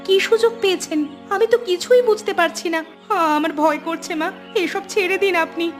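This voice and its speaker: Cartoon young woman: extremely high voice, anxious, dutiful, pleading, horror tale. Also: a little fast, faint music behind. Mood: worried. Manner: pleading, worried, respectful. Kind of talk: scripted Bengali horror cartoon acting.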